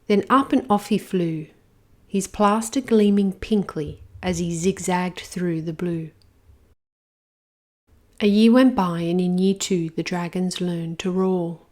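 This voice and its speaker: Slow expressive woman storyteller. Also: fairly low pitch. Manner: slow, expressive rhymed reading. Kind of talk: children's picture book reading.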